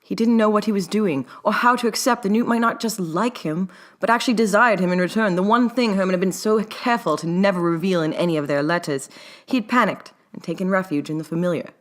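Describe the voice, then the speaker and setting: A woman's voice: medium pitch, fast. A woman reading her own fiction aloud as scripted story narration.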